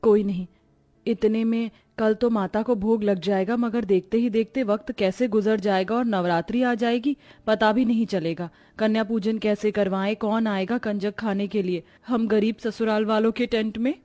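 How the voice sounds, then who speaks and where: A woman's voice: mid-range voice, steady tone, moderate pace, warm tone, natural voice. A woman playing a devout, long-suffering poor daughter-in-law in a cartoon moral story, earnest and reverent. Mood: worried.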